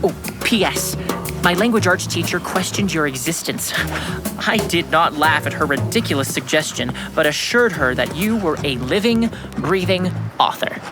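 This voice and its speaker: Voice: mid-range voice, animated, normal speed, lightly acted. An actor playing an earnest, self-important sixth-grade boy detective in a radio drama, speaking formally and precisely. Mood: formal, self-assured. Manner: pompous, formal, precise diction. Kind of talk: radio drama acting and first-person narration. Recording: music under the voice.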